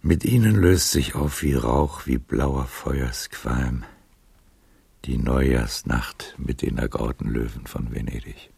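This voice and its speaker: An actor playing a weary, resigned man over seventy in a literary radio play, speaking haltingly and poetically with a worn voice. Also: darkish tone, no room sound, heavily acted. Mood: resigned, melancholy. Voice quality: very deep, gravelly, worn voice. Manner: slow, halting, poetic delivery.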